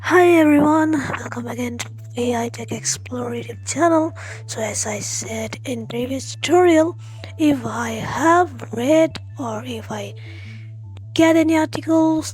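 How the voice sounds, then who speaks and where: A woman hosting a tech tutorial, explaining step by step in a halting, friendly way and speaking straight to her viewers. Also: fairly high pitch, a little mellow, slightly rough, faint music behind, poor audio. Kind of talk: spoken tutorial walkthrough.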